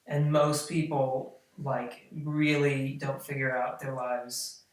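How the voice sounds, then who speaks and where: A thirty-year-old man chatting as a podcast guest, relaxed and talkative, with his speech dotted with "like" and "you know". His voice sits at an ordinary pitch for a man. The sound is distant and very roomy. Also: slow. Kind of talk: casual chat. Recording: echoey room, mic far off.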